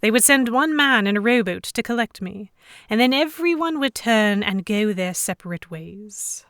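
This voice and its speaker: A woman playing an anxious, abducted young heroine, narrating a pirate romance audio drama in a measured, introspective, literary manner. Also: medium pitch, animated, fairly bright tone, no echo, studio quality. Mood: uneasy. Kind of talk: scripted first-person dramatic narration.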